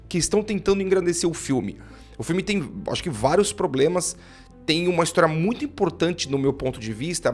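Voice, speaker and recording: A man with a Brazilian Portuguese accent giving a casual spoken film review, opinionated and thinking aloud, often repeating and restarting phrases. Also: on the high side. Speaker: film critic.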